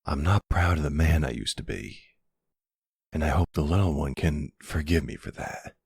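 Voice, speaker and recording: A man playing a charming middle-aged mobster and remorseful expectant father in an intimate, soft-spoken, affectionate ASMR roleplay. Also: rumbling low, gravelly, breathy edge, no room sound, clean studio sound, exaggerated voice. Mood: regretful, tender. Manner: soft, soothing, affectionate, unhurried.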